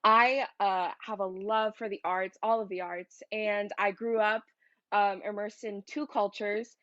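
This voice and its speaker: A woman's voice: mid-range voice, fairly even intonation, unhurried, fairly bright tone, no echo. A seventeen-year-old young woman from Hawaii with an American accent, talking casually and earnestly as a student. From Hawaii, United States. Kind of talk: casual self-introduction. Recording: dull, dry call audio.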